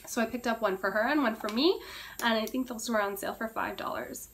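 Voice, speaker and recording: Hoarse chatty woman losing her voice. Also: fairly high pitch, a little fast, a bit bright, slight background noise. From Canada. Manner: chatty, frequent throat clearing. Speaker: beauty vlogger. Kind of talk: casual product review.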